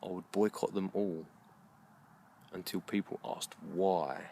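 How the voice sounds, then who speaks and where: A middle-aged British man speaks in a very low voice with a gravelly creak. He delivers a reflective monologue in short, deliberate phrases, earnest and halting. Faint ambient noise sits behind him. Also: steady tone, warm tone. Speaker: video blogger. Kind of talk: slow reflective monologue to camera.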